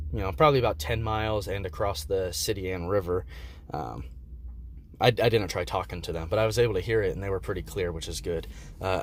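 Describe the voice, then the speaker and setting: Male amateur reviewer, deep register, easygoing and hesitant, unscripted, slight background noise. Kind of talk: casual unscripted product review.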